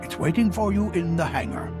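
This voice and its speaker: A man playing an elderly, theatrical, wise Jedi master in a video game, with dramatic pauses and drawn-out mentor phrasing. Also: a little high, wildly swooping pitch, music in the background. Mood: grave, explanatory.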